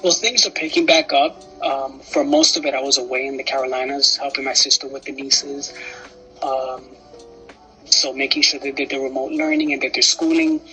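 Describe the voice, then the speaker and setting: A man's voice: medium pitch, level tone, moderate pace, sharp tone, faint music behind, low-quality recording. A young man with a Dominican New York accent, a guest in a casual interview, playful and upbeat. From New York, Upper Manhattan. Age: young adult.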